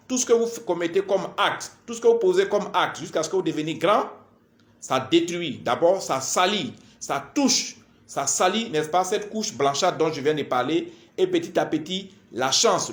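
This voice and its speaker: A man speaks in a fairly high voice. He delivers a spiritual lecture in a deliberate, instructive way, building it from short phrases and repetitions. The room around his voice is clearly echoey. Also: a bit bright. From Ivory Coast. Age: 40s. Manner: deliberate, didactic, repetitive, halting phrases with frequent verbal tags. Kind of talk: spoken lecture to camera.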